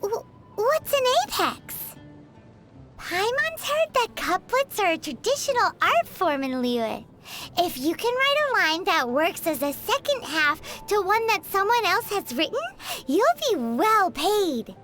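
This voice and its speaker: A woman voicing a tiny, cheeky, excitable fairy companion in a video game, with a squeaky childlike voice and a cutesy manner. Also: unhurried, faint music behind, exaggerated voice. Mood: playful. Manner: cutesy, refers to self in third person. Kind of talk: video game character voice lines.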